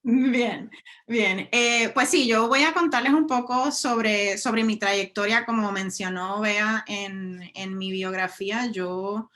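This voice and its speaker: Bright-voiced Puerto Rican woman presenting. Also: mid-range voice, fairly even intonation, slow. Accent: Puerto Rican Spanish. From Puerto Rico. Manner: conversational, frequent restarts and fillers. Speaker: guest speaker, scientist. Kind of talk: webinar presentation. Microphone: video call.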